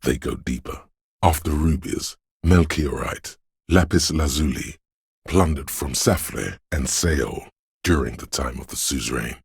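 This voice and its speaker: An actor playing the solemn, brooding spirit voice of a city, narrating a video game in a husky, poetic, ominous way. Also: male voice, measured pace, dry recording, studio quality, heavily acted. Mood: solemn, haunting. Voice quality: very deep, gravelly, husky.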